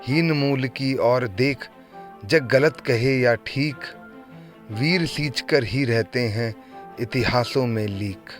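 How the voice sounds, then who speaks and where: A man narrating a documentary in a solemn, measured way, opening with recited verse. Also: mid-range voice. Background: background music.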